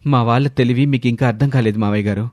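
A man's voice: medium pitch, steady tone, fast talker, a little mellow, no room sound, close to an everyday voice. A man voicing a devoted, distressed young husband who speaks earnestly, in a narrated story. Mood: accusing.

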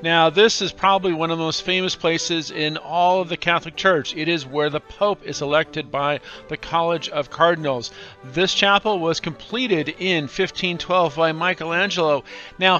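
A man's voice: on the high side, pushed and bright. A middle-aged man hosting a travel vlog, narrating in an upbeat, enthusiastic way. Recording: dull lo-fi voice-over track. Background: classical music.

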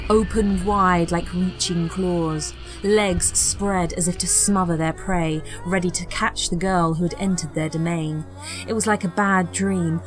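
A woman's voice: on the low side, low-quality recording. A woman reading a scripted horror audiobook as narrator, with a dramatic, suspenseful delivery.

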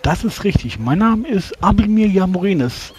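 A man playing a courteous, formal battle mage who speaks politely and haltingly. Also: sits high, animated, a little mellow, a little hiss or hum, pitched above the performer's own voice.

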